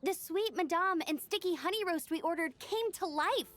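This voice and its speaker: An excitable young girl in a video game, extremely high in pitch and bright in tone. She speaks in an earnest, flustered, exclamatory way, with faint music underneath. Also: normal speed.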